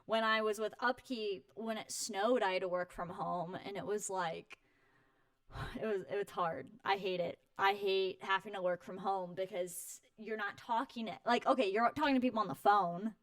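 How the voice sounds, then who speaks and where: A woman's voice: fairly even intonation, a bit bright, no room sound. Young woman in an interview, super bubbly and chatty, laughs easily, dry close sound. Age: 20s. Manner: bubbly, high-energy, effusive, quick to laugh. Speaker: interview guest, home warranty company representative. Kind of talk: casual chat.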